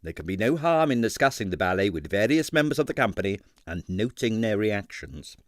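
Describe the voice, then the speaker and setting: A man narrating a comic detective novel as an audiobook reader, in a measured, dramatic storytelling delivery with emphatic phrasing. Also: lowish voice, sing-song, no echo, clean studio sound.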